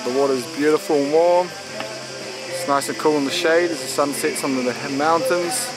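A man's voice: a little high, steady tone, normal speed, over loud music, rough recording. A young adult man chatting casually in a laid-back, jokey way as a sailing vlogger. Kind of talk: casual chat.

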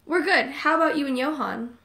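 Woman acting as a nice upbeat teen girl, fairly high voice, in an audio play. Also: fairly quick, gravelly, pushed and bright, heavily acted. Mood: relaxed, supportive. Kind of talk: amateur audio drama acting.

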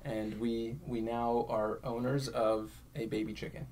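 A man with a soft, creaky voice talks casually as a podcast guest. His pitch is average, and faint noise from the room's ambience sits behind him. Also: flat delivery, a little slow. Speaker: podcast guest, campus ministry worker. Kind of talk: casual chat.

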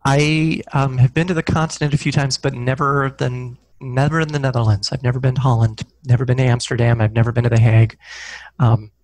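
A man's voice: mid-range voice, flat delivery, a little fast, a little mellow, low-quality recording. A middle-aged man, an author guest, chatting casually in an interview over a video call, with many easy fillers. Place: small room with a corkboard. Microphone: headset mic on a video call.

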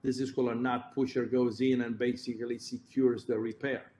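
A man's voice: medium pitch, level tone, fairly quick, darkish tone. A man with a Romanian accent, a heart surgeon giving a conference presentation, explaining step by step and correcting himself as he points at slides. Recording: roomy echo, faint background noise.

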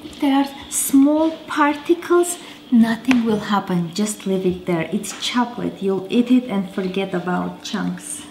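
A woman's voice: mid-range voice, expressive, unhurried, darkish tone, slight background noise. A woman in her thirties hosting a home cooking show, chatting casually as she cooks in her kitchen. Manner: chatty, talks while cooking. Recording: roomy kitchen with faint clatter.

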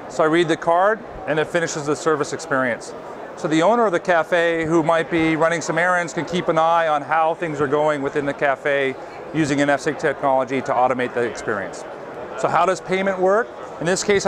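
A man in his thirties gives a polished, upbeat product demo as a marketing director, recorded by a nearby camera on a busy trade show floor. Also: noisy background. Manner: upbeat, polished sales pitch. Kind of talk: scripted product walkthrough.

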